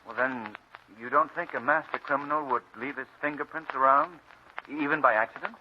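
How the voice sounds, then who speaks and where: An actor playing a suave, unruffled gentleman sleuth with a polite, composed manner, in old-time radio drama style. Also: medium pitch, a little fast, natural voice. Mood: urbane. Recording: muffled, slightly noisy old radio recording.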